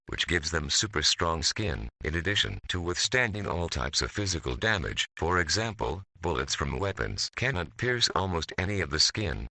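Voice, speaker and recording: A man's voice: low voice, vocal fry, bright, forceful tone, slightly rough, no echo, exaggerated voice. A man voicing a detached military scientist, reading a formal, stilted analog horror narration. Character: male military scientist coldly recounting his mutant experiments.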